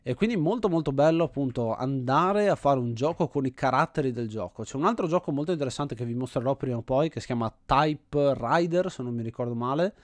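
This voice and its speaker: Male gaming host, average-pitched voice, enthusiastic thinking-aloud commentary, close dry recording. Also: lively intonation, fairly quick, hardly any pauses, no echo. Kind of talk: casual let's play commentary.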